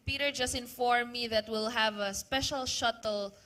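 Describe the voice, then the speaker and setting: A woman's voice: a little high, steady tone, normal speed, pushed and bright. A woman moderating a panel discussion in a conversational way, with hesitant uhs and restarts as she hands the floor to others.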